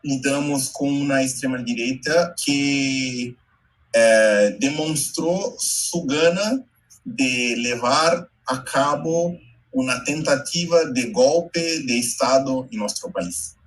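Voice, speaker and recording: A Brazilian man whose first language is Portuguese, speaking slowly and haltingly in Spanish mixed with Portuguese, giving a talk over a video call. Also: mid-range voice, poor audio. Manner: slow, careful, halting Portuguese-Spanish mix with many pauses. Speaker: invited speaker, educator.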